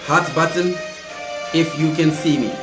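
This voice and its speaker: A man in his thirties hosting a live political commentary stream, slightly hoarse, chatty and speaking straight to viewers. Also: a little high, fairly even intonation, unhurried, over music. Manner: chatty, addresses viewers directly, repeats calls. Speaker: host of an online political show. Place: indoor room, seated at a table. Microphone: fixed camera, mid-distance. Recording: roomy echo.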